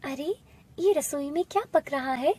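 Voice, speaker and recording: A woman voicing a kind, devout village wife and mother in an animated moral story. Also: high-pitched, unhurried, voice pushed up. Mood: curious.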